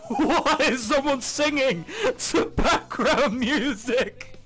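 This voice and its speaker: A man doing let's play commentary, reading visual novel text aloud in an excitable, giggly way with exaggerated reactions. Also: very high-pitched, takes it slowly. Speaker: video game commentator. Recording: background music under the voice. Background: game music.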